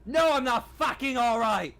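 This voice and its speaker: A man's voice: extremely high pitch, expressive, normal speed, fairly bright tone, pitched above the performer's own voice, exaggerated voice. A man voicing a crazed, hostile spaceship survivor who rants menacingly of doom in a sci-fi tabletop game. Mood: furious.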